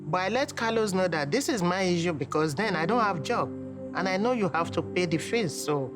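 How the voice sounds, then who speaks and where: A woman's voice: lowish voice, animated, brisk, over music. A middle-aged woman with a Nigerian accent telling her personal story in an interview. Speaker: interviewee, mother of an athlete.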